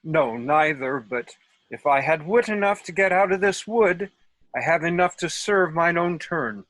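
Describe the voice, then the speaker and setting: A man's voice: fairly high pitch, lively intonation, unhurried, a bit bright, no echo, poor audio. A man playing a foolish, self-assured but courteous rustic workman in a comic Shakespeare table read, with theatrical delivery over a video call. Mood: bemused, cocky.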